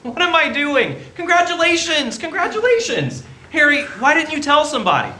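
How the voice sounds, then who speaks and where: A man's voice: extremely high pitch, sing-song, moderate pace, bright, forceful tone, heavily acted. A man playing a restless, wry, grumbling young small-town man in a radio play. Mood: excited. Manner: hesitant, grumbling. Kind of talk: stage radio play acting. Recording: echoey theatre, faint noise.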